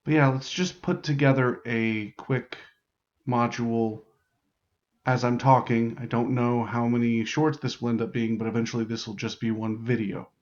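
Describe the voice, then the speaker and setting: A man's voice: mid-range voice, steady tone, moderate pace, highs cut off. A man giving an improvised, casual tutorial as a game host, informally thinking aloud in loose phrases. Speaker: host explaining how to write larp game modules.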